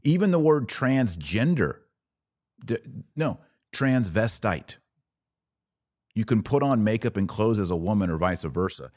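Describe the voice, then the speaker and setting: A man's voice: lowish voice, very animated, unhurried, pauses often, darkish tone, dry recording, studio quality. An American man hosting a podcast, delivering opinion commentary in an emphatic, preachy manner full of rhetorical questions. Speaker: podcast host.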